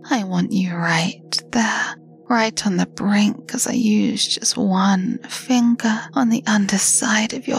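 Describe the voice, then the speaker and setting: Woman, slow teasing whisperer for intimate roleplay audio, close-miked, breathy, with faint music behind. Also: medium pitch. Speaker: erotic audio performer. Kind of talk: scripted intimate roleplay monologue.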